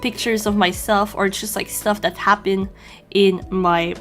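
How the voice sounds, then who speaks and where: A woman's voice: mid-range voice, fairly even intonation, a little slow, fairly bright tone, soft background music. Chatty young woman with a Quebec French accent. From Quebec, Canada. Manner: chatty and friendly, frequent ums and you-knows. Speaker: journaling channel host. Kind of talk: casual voice-over narration while drawing.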